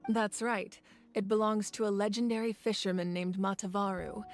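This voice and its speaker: A woman's voice: mid-range voice, little pitch movement, faint music behind, close to an everyday voice. Composed warrior woman telling tales played by an actress. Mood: gracious, informative. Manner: measured, thoughtful storytelling. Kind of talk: video game story dialogue.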